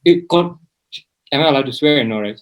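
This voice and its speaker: A man's voice: on the high side, expressive, moderate pace. Casual young man, hesitant and informal. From Nepal. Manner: hesitant, informal, peppers speech with "like". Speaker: student guest. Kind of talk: casual interview chat.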